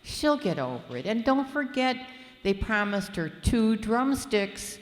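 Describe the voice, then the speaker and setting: A woman playing a patient, gently teasing middle-aged wife and mother in a live radio play. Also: a little high, unhurried. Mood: soothing. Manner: calm, soothing, dry. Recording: echoey hall with long reverb.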